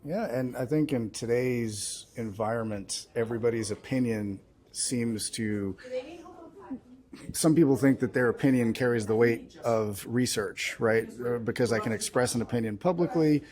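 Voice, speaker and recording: A man with an average-pitched voice speaks as an interviewer. His delivery is conversational and thoughtful, with hesitant phrasing as he works toward a point. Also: normal speed, darkish tone.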